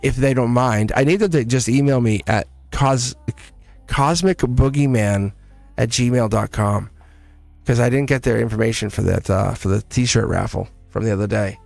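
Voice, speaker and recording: A man's voice: mid-range voice, normal speed, gravelly, darkish tone, no room sound, soft background music. A young man doing a casual, upbeat live tarot reading, talking to viewers in a chatty way and laughing often. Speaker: tarot reader.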